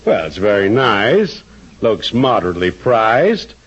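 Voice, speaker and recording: Jolly, folksy tax assessor, swooping delivery. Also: male voice, on the low side, very animated, measured pace, slightly rough, full character voice. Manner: folksy, jokey, chuckles at his own cracks. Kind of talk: 1940s radio sitcom acting. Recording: muffled old-time radio recording.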